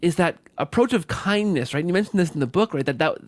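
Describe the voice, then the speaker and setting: A man's voice: fairly high pitch, expressive, fast talker, dry recording. A man hosting a conversational podcast interview, circling and rephrasing his phrases as he builds a point. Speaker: podcast host.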